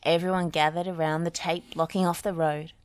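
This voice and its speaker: A woman reading a children's storybook aloud in an expressive, deliberate way. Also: on the low side, no room sound. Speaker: audiobook reader.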